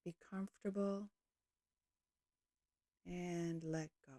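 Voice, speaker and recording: A woman leading a guided yoga nidra meditation, speaking calmly and gently in slow, measured short phrases. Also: fairly low pitch, no echo, clean studio sound.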